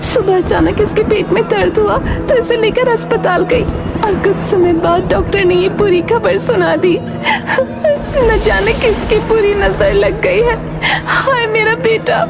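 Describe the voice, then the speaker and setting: A woman playing a distressed, pleading village mother in a cartoon story. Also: very high-pitched. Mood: wailing. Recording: loud music and crowd behind.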